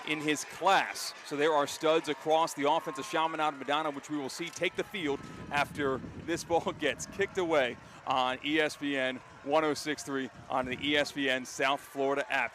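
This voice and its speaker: A fairly high-voiced American man calls football live as a play-by-play announcer. His delivery is excitable and climbs toward shouting on big plays. Outdoor stadium noise sits audibly behind his voice.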